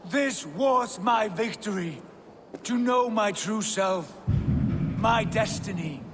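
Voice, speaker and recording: A man's voice: high voice, lively intonation, slow pace, slight background noise. An actor playing a proud, imperious Viking leader obsessed with his destiny, with an intense, accusing manner, in a video game. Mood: bitter, obsessed. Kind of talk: dramatic video game acting.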